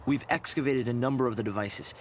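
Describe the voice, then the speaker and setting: An actor playing a thoughtful young research director in a video game, explaining things in a measured, earnest way. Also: male voice, mid-range voice, a little hiss or hum, natural voice. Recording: loud orchestral music behind. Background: game music.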